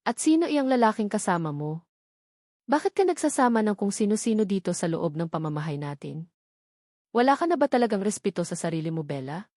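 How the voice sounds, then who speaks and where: A middle-aged mother from an audiobook romance, scolding and suspicious, as a woman narrator reads her. The voice is mid-pitched and recorded with almost no room sound. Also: sing-song, brisk, dark tone, no echo, clean studio sound.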